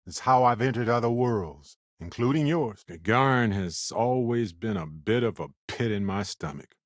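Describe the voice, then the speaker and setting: An actor playing a warm, wry, chatty space explorer companion in a video game, with an affable, teasing and theatrical delivery. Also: male voice, fairly low pitch, animated, moderate pace, no room sound, clean studio sound, lightly acted. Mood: reflective.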